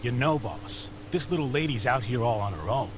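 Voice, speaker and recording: An actor playing a nervous, sycophantic bandit underling in a video game, wheedling and stammering. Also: male voice, mid-range voice, animated, brisk, close to an everyday voice. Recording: game capture with clear background noise.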